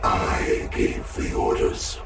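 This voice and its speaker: An actor playing a cold, calculating, imperious military artificial intelligence in a video game cutscene, speaking in formal, measured, menacing declarations. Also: male voice, over loud music, lightly acted. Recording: very noisy, distant game cutscene audio.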